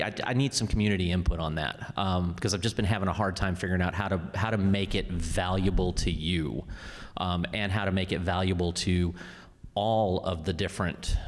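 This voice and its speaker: A man speaking as a council member at a public meeting, halting and repeating words as he thinks aloud. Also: low voice. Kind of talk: unscripted meeting remarks. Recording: reverberant hall.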